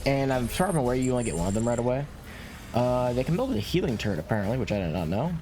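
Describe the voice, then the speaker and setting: A man's voice: medium pitch, expressive, fast talker, dark tone, slight background noise. A man giving casual gameplay commentary as a channel host, conversational and thinking aloud. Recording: game music clearly behind voice. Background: game music.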